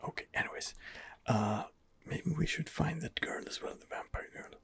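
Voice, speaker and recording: A man giving ASMR game commentary in a breathy, husky whisper, hesitant and rambling as he muses his way along. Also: on the high side, moderate pace, fairly bright tone. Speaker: video game streamer, channel host.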